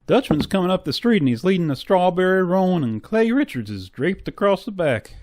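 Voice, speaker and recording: An actor playing a folksy, loyal, plain-spoken frontier deputy with rustic grammar in a western radio drama. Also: male voice, sits high, very animated, brisk, background noise. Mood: urgent. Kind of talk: old-time western radio drama acting.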